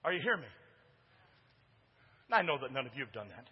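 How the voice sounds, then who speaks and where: A man preaching a sermon as pastor in a church, with a big booming voice that swings from hushed asides to shouting and calls out to the congregation for responses. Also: sing-song, brisk, breathy edge, in a large hall, muffled recording. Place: church sanctuary.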